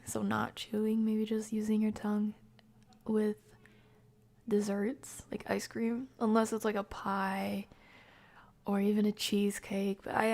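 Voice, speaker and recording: A woman live streamer chatting casually with viewers, soft-spoken and often slipping into a breathy near-whisper. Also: mid-range voice. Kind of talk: casual livestream chat with viewers.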